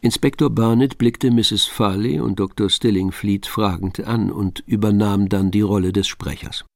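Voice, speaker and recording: A man's voice: fairly low pitch, steady tone, moderate pace, vocal fry, no room sound, studio quality. A man narrating a German radio drama from a script, as a storyteller between the dialogue.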